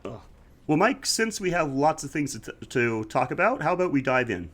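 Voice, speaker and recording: A man with a stuffy, slightly scratchy voice, chatting easily as a podcast co-host. He talks at length and runs through prepared facts in a relaxed way. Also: fairly high pitch, a little fast. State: congested, slightly scratchy throat. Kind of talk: casual podcast chat.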